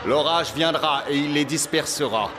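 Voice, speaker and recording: A man's voice: on the high side, moderate pace, lightly acted. A man voicing a proud, stubborn Egyptian pharaoh in a cartoon, imperious and contemptuous. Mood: angry contempt. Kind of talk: dramatic cartoon voice acting. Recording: music under the voice.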